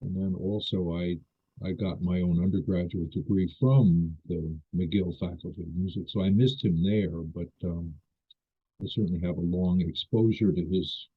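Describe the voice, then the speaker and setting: An older man with a low, husky voice asks questions politely, pausing and correcting himself as he goes. His voice comes through a thin, phone-like line. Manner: polite, hesitant, apologetic, restarts phrases. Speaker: interviewer. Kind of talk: interview questions.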